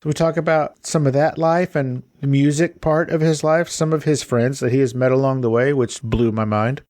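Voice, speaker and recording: A man hosting a podcast, gushing with enthusiasm and quick asides. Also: medium pitch, steady tone, darkish tone, no echo, clean studio sound. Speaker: podcast host. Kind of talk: casual podcast interview.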